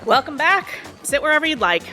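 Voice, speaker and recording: A woman playing a brisk, attentive bar server in an audio drama. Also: sits high, big pitch swings, over music. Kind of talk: audio theatre acting.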